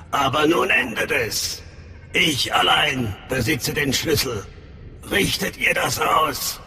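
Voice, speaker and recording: Slow, imperious cyborg villain. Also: male voice, mid-range voice, creaky, exaggerated voice. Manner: imperious, threatening. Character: menacing half-machine Sith lord, arrogant and scornful. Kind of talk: scripted video game acting in German. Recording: loud music under voice.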